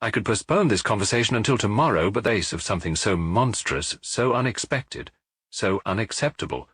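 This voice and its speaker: A man voicing a terse, brooding police detective in an audiobook, measured and questioning. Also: fairly low pitch, expressive, a bit bright, breathy edge, dry recording. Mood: muttering, troubled.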